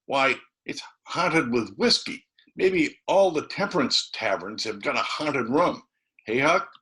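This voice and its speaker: A man voicing a frightened, excited adventurous small-town boy in a storybook, talking in a breathless, folksy rustic way. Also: mid-range voice, slow, pitched above the performer's own voice. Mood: animated. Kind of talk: dramatised storybook reading.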